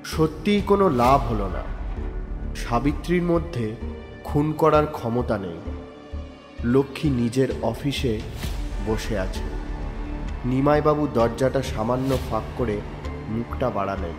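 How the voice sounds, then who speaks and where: A man's voice: mid-range voice, animated, a little slow, long pauses, in a large hall, music in the background. Man narrating a crime story.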